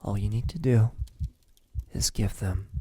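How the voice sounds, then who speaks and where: A man acts out a brooding male vampire in an intimate ASMR roleplay. The voice is dark and husky, with soft breath around the words. He speaks very slowly and haltingly, as if confiding in one listener. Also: on the low side. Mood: menacing, amused. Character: lonely, lovesick male vampire, menacing toward humans.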